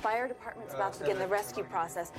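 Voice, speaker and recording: A woman's voice: medium pitch, fast, lightly acted. A woman playing a young TV news reporter covering an emergency in a film. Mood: reporting. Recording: film trailer under loud music.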